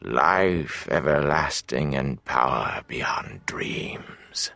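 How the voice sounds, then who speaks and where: A man voicing a sleek, self-important, menacing servant of a dark lord in an audiobook, drawing out words to coax and command. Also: rumbling low, lively intonation, vocal fry, no room sound, soft background music, pitched below the performer's own voice, full character voice. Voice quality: sleek, thick. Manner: drawn-out, coaxing then commanding. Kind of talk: audiobook villain dialogue.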